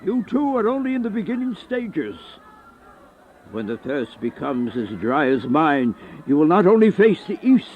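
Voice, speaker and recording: An actor playing an aged, sickly vampire in a radio horror play, bitter and remorseful, in a grandiose, melodramatic manner. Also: male voice, fairly high pitch, sing-song, normal speed, a little hiss or hum. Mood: grim, resigned. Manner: grandiose, brooding, melodramatic monologue. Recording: dull lo-fi radio play with music.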